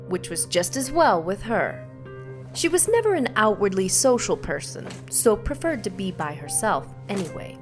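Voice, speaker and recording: A woman reading a scripted suspense story as its narrator. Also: mid-range voice.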